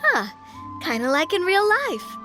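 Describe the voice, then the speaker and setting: A bubbly, confident young lady from a video game. The voice is high and very lively in pitch, with a playful, breezy and slightly mischievous manner. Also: a little slow, music in the background, exaggerated voice. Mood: casual, playful. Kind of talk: video game character acting.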